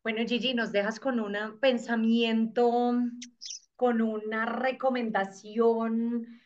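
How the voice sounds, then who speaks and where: A woman's voice: fairly high pitch, little pitch movement, slow, darkish tone, dull audio. A woman hosting an interview, asking curious, encouraging questions in a casual conversational way. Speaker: podcast host.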